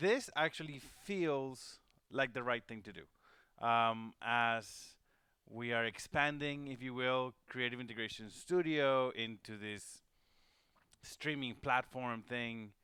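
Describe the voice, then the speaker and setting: A man's voice: mid-range voice, takes it slowly, pushed and bright, smooth, no echo, clean studio sound. A man from Venezuela hosting a livestream, talking casually and rambling with many fillers and restarts. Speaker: host. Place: home studio.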